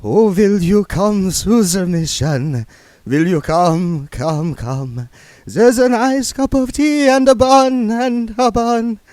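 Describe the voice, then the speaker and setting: A man's voice: mid-range voice, very animated, no room sound, voice pushed up. A man doing a trembling, tormented vampire. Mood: fervent singing. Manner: slow, trembling, wailing, bursts into song. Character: anxious, trembling abstaining male vampire.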